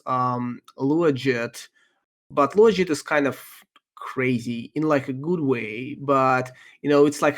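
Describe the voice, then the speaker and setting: A man whose first language is Russian, answering interview questions in a thoughtful, halting way with many ums and restarts. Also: mid-range voice. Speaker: podcast guest, software engineer.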